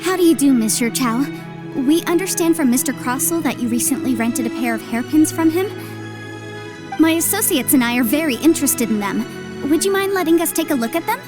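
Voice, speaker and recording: High-voiced, confident legal advisor. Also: female voice, lively intonation, brisk, over music. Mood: courteous. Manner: precise, formal, courteous. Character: brisk, confident young female legal advisor. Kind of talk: anime game voice acting.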